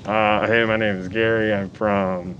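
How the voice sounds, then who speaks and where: A man's voice: on the low side, flat delivery, unhurried, a bit bright, dull audio, slight background noise. A man in his early thirties from the United States, chatting casually as a podcast guest in short, hesitant answers. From United States, military family. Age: early 30s. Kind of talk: casual chat. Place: podcast studio.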